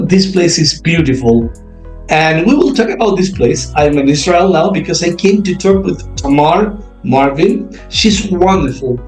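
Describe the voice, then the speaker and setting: A man hosts a podcast interview, speaking in a high, light voice. He talks haltingly with many fillers and restarts. The room rings with echo, and faint music sits underneath. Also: a little mellow. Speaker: podcast host. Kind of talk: casual interview.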